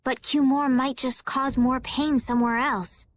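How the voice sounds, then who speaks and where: A woman playing a gentle, concerned young female adventurer in a video game, soft and polite. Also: high-pitched, fairly even intonation, unhurried, a little hiss or hum.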